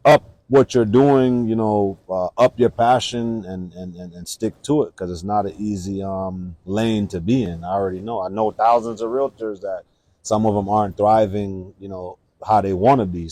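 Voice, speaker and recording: Low-voiced guy hosting a laid-back interview, lots of "got you" and quick asides. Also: mellow, no echo. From Jamaica. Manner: talks fast, asks questions, affirms with short replies. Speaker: interview host. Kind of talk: casual interview chat.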